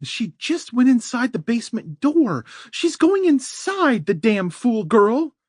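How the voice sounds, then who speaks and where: Guy doing a hoarse panicky mom yelling, pitched way up, dry sound. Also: big pitch swings, slow pace, no echo, heavily acted. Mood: urgent. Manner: shouting, frantic. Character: desperate young mother, woman.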